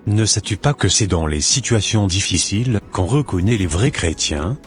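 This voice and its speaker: Man voicing a deep-voiced preaching church brother. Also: lively intonation, faint music behind, lightly acted. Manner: slow, sermonizing, gently admonishing. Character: Brother David, a calm preaching church elder.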